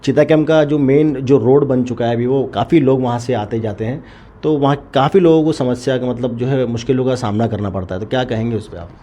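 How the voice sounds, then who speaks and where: A man's voice: mid-range voice, fairly quick, dark tone. A man asking questions as a news interviewer, hesitant and repeating his phrases.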